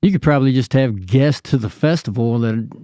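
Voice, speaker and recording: Mellow-voiced podcast host rambling along. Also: male voice, medium pitch, no room sound. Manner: rambling, halting, full of restarts. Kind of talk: casual podcast chat. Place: home recording studio.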